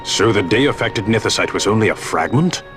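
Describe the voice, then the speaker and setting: An actor playing a gruff, proud sky pirate commander in a fantasy video game, speaking in stately archaic phrases. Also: male voice, fairly low pitch, lively intonation, moderate pace, music in the background, lightly acted. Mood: grave, wry. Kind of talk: dramatic video game voice acting.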